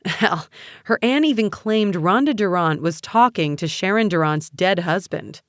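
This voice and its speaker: A woman's voice: on the low side, expressive, measured pace, dry recording, studio quality. A woman voicing an indignant conservative political broadcaster, ranting with scornful emphasis in a story.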